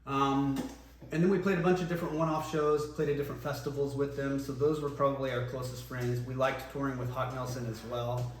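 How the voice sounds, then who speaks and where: A man, a former band singer, reminiscing casually in an interview, relaxed and rambling with many ums and restarts. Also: mid-range voice, brisk. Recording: echoey room, voice from a distance, faint noise.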